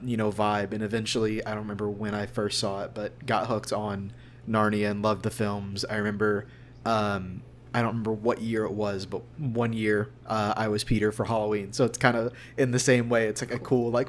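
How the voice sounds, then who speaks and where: A young man with a fairly low voice talks casually as a guest in an interview. He rambles and hesitates, gently putting himself down. Noticeable background noise sits behind his voice. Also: flat delivery, moderate pace. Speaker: voice actor guest.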